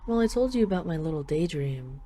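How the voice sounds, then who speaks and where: A woman voices a dragon queen in an intimate audio drama, with a low, mellow, soft voice. She is affectionate and wistful, teasing her beloved gently, with a faint wash of ambient noise behind her. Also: lively intonation, a little fast. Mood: playful, dreamy. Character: dragon queen, tender, teasing, possessive lover.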